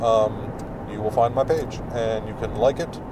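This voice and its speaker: A man hosting his own podcast, with a deep, mumbling voice, rambling through plugs with lots of fillers while he drives. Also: steady tone, measured pace. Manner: rambling, filler-heavy, self-deprecating. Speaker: podcast host. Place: in a car while driving. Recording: distant mic with road noise.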